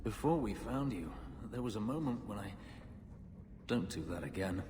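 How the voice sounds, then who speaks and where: An actor playing an earnest, opinionated companion with a serious manner in a fantasy video game. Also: male voice, lowish voice, expressive, moderate pace, natural voice. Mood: shaken, earnest. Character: earnest brooding male companion, opinionated freedom lover. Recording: echoey hall reverb, noisy ambience behind.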